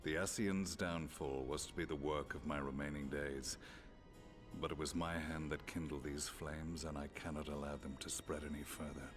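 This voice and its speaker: Very deep grave former commander. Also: male voice, steady tone, over music. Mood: resolute, remorseful. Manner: solemn, measured, archaic formal diction. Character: grave, formal, remorseful middle-aged male former imperial commander. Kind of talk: video game cutscene voice acting.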